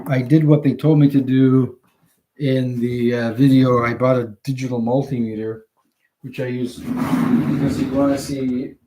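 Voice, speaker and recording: A man in his fifties from Canada, giving a casual, unscripted product demonstration in a halting, rambling way. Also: medium pitch, a little mellow, poor audio. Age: 50s. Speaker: hobbyist video host. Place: indoors at home. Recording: home recording with faint background noise.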